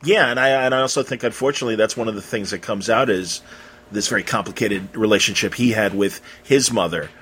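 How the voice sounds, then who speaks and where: A man hosting a casual conversational interview, feeling his way through questions with halting, circling phrasing. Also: lowish voice, a bit bright, a little hiss or hum. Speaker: interviewer, host.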